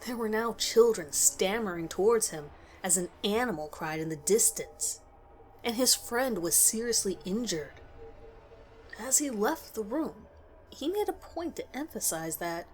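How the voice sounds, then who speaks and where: Female narrator for scripted horror fiction, medium pitch, faintly noisy recording.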